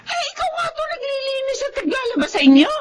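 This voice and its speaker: A woman's voice: very high-pitched, very animated, fast talker, noisy background. An actress playing an older Filipino mother and grandmother in a radio drama, nagging and emphatic out of concern for her grown son. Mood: scolding, exasperated.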